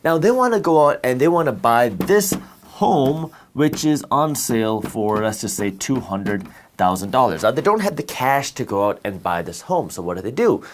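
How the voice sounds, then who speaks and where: A man's voice: medium pitch, big pitch swings, normal speed, a little hiss or hum. A man whose first language is Punjabi, giving a step-by-step explanation of banking while drawing a diagram. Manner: step-by-step explanation with worked examples. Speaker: finance educator.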